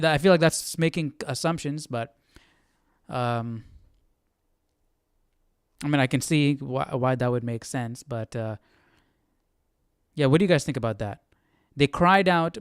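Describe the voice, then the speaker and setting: A man's voice: medium pitch, smooth, no room sound, studio quality. A man hosting an informal Bible study, thinking aloud in a hesitant, reflective way. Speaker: live Bible study host.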